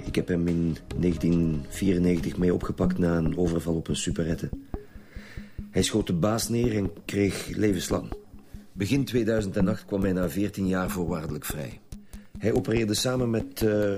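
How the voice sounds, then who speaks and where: Gruff veteran detective, Flemish accent, low pitch, blunt, stubborn, radio drama, music behind. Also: male voice. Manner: blunt, insistent, irritable. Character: gruff, stubborn veteran male chief homicide inspector.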